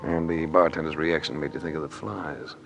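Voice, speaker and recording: A man's voice: rumbling low, steady tone, a little fast, dull audio, music in the background. A man playing a cool, tough insurance investigator in a 1950s radio detective drama, dry, terse and hard-boiled. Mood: wry, narrating. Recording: old radio recording with clear noise.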